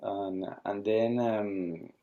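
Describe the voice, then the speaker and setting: A man, speaking haltingly as he searches for words while being interviewed about founding his watch brand. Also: fairly low pitch, steady tone, lo-fi sound. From Argentina. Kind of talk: casual interview.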